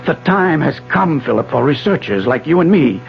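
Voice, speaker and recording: An actor playing an obsessed elderly physics professor in a 1970s radio drama, formal, insistent and fervent, with a declamatory delivery. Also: male voice, a little high, big pitch swings, moderate pace, gravelly. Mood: earnest. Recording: dull 1970s radio broadcast, faint music.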